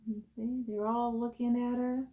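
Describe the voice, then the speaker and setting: A woman's voice: fairly high pitch, flat delivery, moderate pace, mellow, rough recording. A woman hosting a children's storytime, reading a picture book aloud and explaining it to young listeners. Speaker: children's storytime host and reader.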